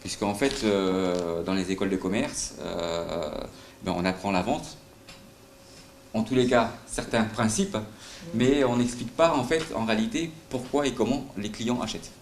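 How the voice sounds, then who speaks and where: A man's voice: lowish voice, normal speed. A middle-aged man with a light southern French accent giving a live sales talk at a conference, full of fillers and questions to the audience. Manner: hesitant, many fillers, asks the audience questions. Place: conference room. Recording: roomy hall with faint noise.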